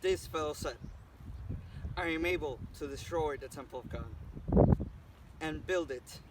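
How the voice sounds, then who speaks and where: A man's voice: a little high, unhurried, close to an everyday voice. A man playing an accusing false witness in a dramatic scripture reading. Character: false witness, a man testifying against prisoner. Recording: distant, loud outdoor background noise.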